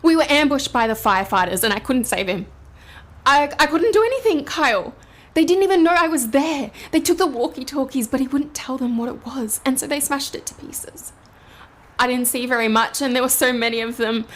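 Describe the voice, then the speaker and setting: A high-voiced woman in distress, in an audio drama. She is frightened and pleading for help. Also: lively intonation, a little fast. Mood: panicked.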